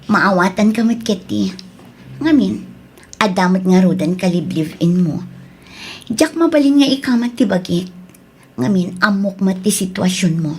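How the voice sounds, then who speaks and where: A woman acting a softly persistent, pleading mistress in an Ilocano audio drama, with a Filipino accent. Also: medium pitch, expressive, unhurried, pauses often, a little hiss or hum, lightly acted. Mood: anxious, pleading.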